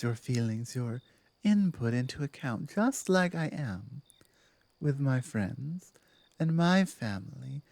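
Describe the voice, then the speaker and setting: A woman voicing a dominant but softening werewolf pack leader in an intimate ASMR roleplay, speaking in measured, deliberate phrases with weighty pauses. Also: a bass voice, no echo, full character voice. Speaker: audio roleplay performer.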